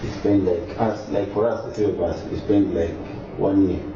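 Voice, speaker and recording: A man with a very low voice answers questions calmly, pausing and filling gaps as he searches for words. He sounds far from the mic in an echoey space, with clear background noise over dull, lo-fi sound. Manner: hesitant, many fillers, calm. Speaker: interviewee. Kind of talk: casual interview answers. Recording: distant echoey lo-fi recording with noise.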